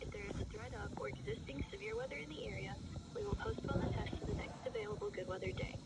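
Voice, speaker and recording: A woman reading a scripted public warning test as a weather radio announcer, in a formal, measured manner over the radio. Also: on the high side, big pitch swings. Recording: distant, very noisy weather radio broadcast.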